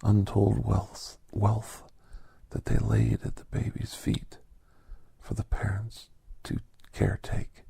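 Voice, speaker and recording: Man acting as gentle reflective doctor, ASMR storyteller, very husky breathy whisper, dry close sound, deliberate pauses. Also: low-pitched, no echo, full character voice. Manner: hushed, deliberate, with long pauses between short phrases. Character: gentle reflective male doctor telling a holiday alien story. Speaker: narrator of an ASMR story podcast.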